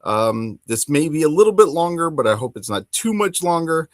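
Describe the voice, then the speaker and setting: A middle-aged man hosting a casual hobby podcast, talking enthusiastically in a rambling, halting way. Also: fairly high pitch, big pitch swings, no room sound, studio quality. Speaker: podcast host.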